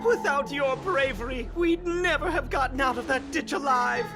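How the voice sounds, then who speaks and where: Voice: high-pitched, normal speed, crowd noise. An actor voicing an eager, talkative little companion in a video game, with formal, mock-dignified phrasing. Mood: effusive gratitude. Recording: loud music behind.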